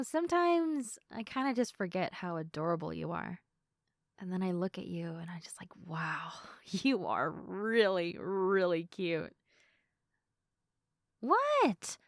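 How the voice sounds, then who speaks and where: A young woman playing a sleepy, affectionate girlfriend in an intimate sleep-aid roleplay, speaking softly and tenderly to the listener in a giggly, hesitant way. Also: medium pitch, dry recording, clean studio sound. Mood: playful, adoring. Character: sleepy, affectionate girlfriend, gentle, playful and sincere. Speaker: audio roleplay performer.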